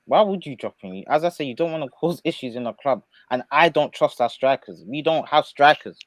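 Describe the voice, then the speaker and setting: A man's voice: fairly high pitch, animated, brisk, dry recording. A man co-hosting a casual football fan stream, arguing his points emphatically and repeating himself. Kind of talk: casual live-stream chat.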